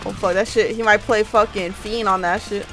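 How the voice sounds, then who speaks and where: A woman's voice: mid-range voice, fairly even intonation, a little fast. A woman giving casual, slangy and sweary let's-play commentary as she reacts to a game. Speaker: gamer reacting to gameplay. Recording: game music loud behind. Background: loud video game music.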